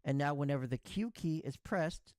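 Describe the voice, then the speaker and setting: A man narrating a software tutorial step by step in a clear, instructional way. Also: a little high, moderate pace, no room sound, clean studio sound.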